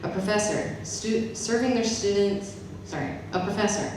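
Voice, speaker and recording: A woman's voice: medium pitch, moderate pace. A woman poet and professor reading her own poems live at an event. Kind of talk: live spoken-word poetry reading. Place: reverberant event room with an audience. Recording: very echoey room, distant mic, noisy.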